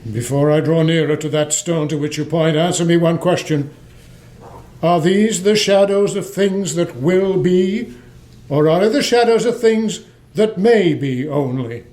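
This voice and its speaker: Radio drama lead: male actor as repentant old miser, high-pitched, stammering, theatrical. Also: a little slow, darkish tone, natural voice. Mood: fearful. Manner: stammering, breathless exclamations. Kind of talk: stage-like radio play acting.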